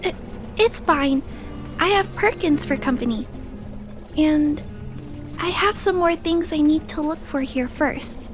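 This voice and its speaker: A gentle little girl speaks in a high voice with soft, hesitant politeness. She is an earnest video game character, and music plays clearly behind her. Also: unhurried, pauses often. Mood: hesitant, defensive. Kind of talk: scripted video game cutscene dialogue.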